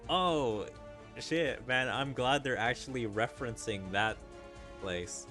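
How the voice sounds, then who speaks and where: A man streaming a game, thinking aloud in a halting, casual way as he comments on the story. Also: medium pitch, bright, forceful tone, soft background music. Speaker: gaming streamer. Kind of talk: reading game dialogue aloud with off-the-cuff commentary.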